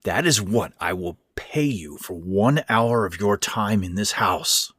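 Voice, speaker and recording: A man voicing a frail, scornful old stepfather in a horror audio story, with a hoarse, rasping voice and a bitter, forceful manner. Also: fairly low pitch, measured pace, dry recording, clean studio sound.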